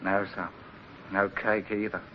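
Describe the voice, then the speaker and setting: A man's voice: lowish voice, little pitch movement, measured pace, natural voice. An actor playing a plain-spoken, grumbling but loyal seaman in a 1950s radio drama, blunt yet deferential. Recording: dull, noisy 1950s radio recording.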